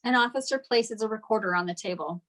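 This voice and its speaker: A woman's voice: medium pitch, fast talker, a bit bright. Woman reading screenplay stage directions. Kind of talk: scripted narration for a table read.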